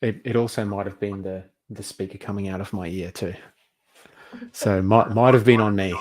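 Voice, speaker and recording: A man's voice: on the low side, fairly even intonation, moderate pace, darkish tone. A man casually hosting a live online event, speaking in an affable, somewhat hesitant and informal way. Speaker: summit host. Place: online video call.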